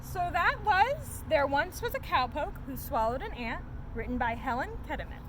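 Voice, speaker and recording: A woman reading a children's picture book aloud as a story time host, in an expressive, sing-song storytelling manner. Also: high voice, normal speed, background noise.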